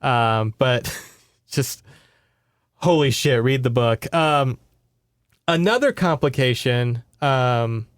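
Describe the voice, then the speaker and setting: A man's voice: medium pitch, expressive, takes it slowly, pauses often, smooth, dry recording, clean studio sound. A man hosting a podcast, giving a casual, rambling, sweary book report in conversation. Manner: informal, sweary, rambling storytelling.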